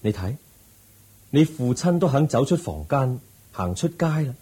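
An actor playing the Buddha as a calm, patient teacher in a Cantonese radio drama, speaking in a measured, instructive way. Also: male voice, mid-range voice, slightly rough, exaggerated voice. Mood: teaching. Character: calm wise male Buddha teaching gently.

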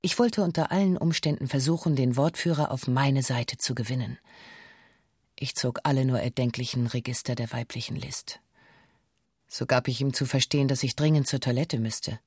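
A woman's voice: rumbling low, steady tone, moderate pace, darkish tone, slightly rough, no echo, clean studio sound. An actress playing a female bank hostage who gives a formal, matter-of-fact witness statement in a documentary radio drama. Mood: sober recounting.

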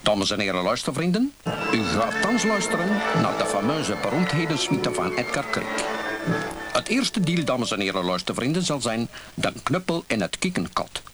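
Male actor as grandiose announcer, ceremonious, medium pitch, big swings, music behind. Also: big pitch swings, normal speed, lightly acted. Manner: ceremonious, bombastic. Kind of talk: radio play announcement.

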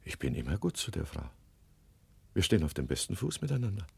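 An actor playing a middle-aged married man in a German radio drama, gravelly and husky, tender, folksy and wistful. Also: sits low, very animated, fairly quick, a little mellow, no room sound, heavily acted.

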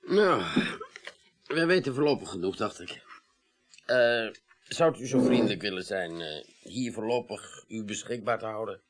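An actor playing a polite, curious police investigator in a radio play, asking questions in a tentative, halting way. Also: male voice, lowish voice, lively intonation. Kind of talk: 1980s Dutch radio play acting.

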